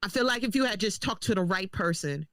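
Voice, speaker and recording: A woman co-hosting a podcast, talking loud and emphatic in casual chat. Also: mid-range voice, animated, fast talker, no echo. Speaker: podcast co-host.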